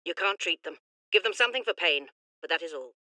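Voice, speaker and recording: A woman's voice: lowish voice, animated, fast talker, sharp tone, no room sound. A woman playing a weary, hard-headed battlefield medic in a game audio log, blunt and pragmatic. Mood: firm, blunt.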